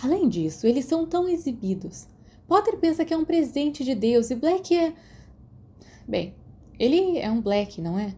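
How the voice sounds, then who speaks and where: Lady reading as smart teen witch girl, frank and pointed but kind, mellow voice, audiobook dialogue. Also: high voice, big pitch swings, normal speed, slightly rough, voice pushed up, full character voice. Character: outspoken, clever, kind teenage witch girl.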